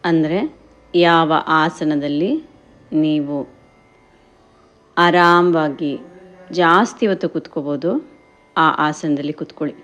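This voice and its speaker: A woman guides a meditation in gentle, encouraging instructions, addressing listeners as friends and mixing in English words. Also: deep voice, slow pace, pauses often, faint music behind. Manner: mixes English words and phrases into speech.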